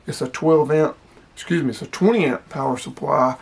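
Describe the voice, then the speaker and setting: Man, slightly higher-pitched voice, casual hands-on product demo, plainspoken, explanatory. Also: fairly quick, gravelly, slightly rough. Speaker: hobby radio vlogger.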